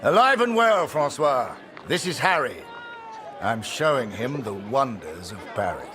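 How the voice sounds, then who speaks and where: An actor playing a jovial army major in a video game. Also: male voice, mid-range voice, very animated, measured pace, sharp tone, a faint crowd, a little hiss or hum. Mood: jovial, commanding. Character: jovial British army major, hiding grief. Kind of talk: dramatic video game dialogue.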